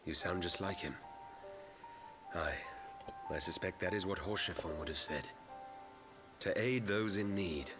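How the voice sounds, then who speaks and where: An actor playing an earnest, conflicted young noble heir in a fantasy game, speaking in formal archaic language. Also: male voice, deep voice, unhurried, long pauses, music in the background. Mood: solemn. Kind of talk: scripted fantasy game acting.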